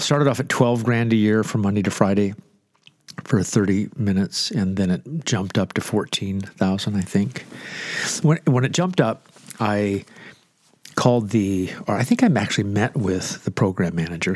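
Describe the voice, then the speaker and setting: A man's voice: on the low side, a little mellow, no room sound, clean studio sound. Congested man in loose radio chat. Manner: rambling, joking, self-deprecating. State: stuffy, congested nose. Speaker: radio show host and pastor. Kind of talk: casual off-the-cuff radio chat.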